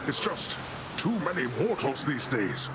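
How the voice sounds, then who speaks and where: An actor playing a boisterous, pompous middle-aged sea god in a video game, with grandiose, theatrical delivery. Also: male voice, on the high side, very animated, measured pace. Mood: boisterous, eager. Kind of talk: scripted video game character acting. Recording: voice under very loud noise.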